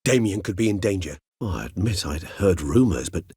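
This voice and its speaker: A middle-aged gentleman vampire from a fantasy video game. His voice is deep and notably husky, recorded very dry and close. He speaks with erudite, old-fashioned courtesy, gentle and philosophical, choosing each phrase with measured care. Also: lively intonation, no echo, exaggerated voice. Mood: courteous, wry. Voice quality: husky, low. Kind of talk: video game character dialogue.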